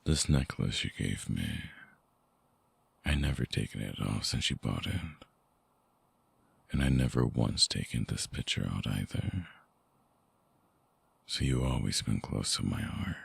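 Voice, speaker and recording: A man performs a vampire ex-boyfriend in a romantic ASMR audio drama, vulnerable and obsessively devoted. His voice is extremely low and thick with fry, husky and full of breath. He pleads softly and hesitantly, right up close to the microphone. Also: expressive, unhurried, long pauses, no room sound, heavily acted. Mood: tender, pleading. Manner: hesitant, soft-spoken, pleading. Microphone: close binaural microphone, dry.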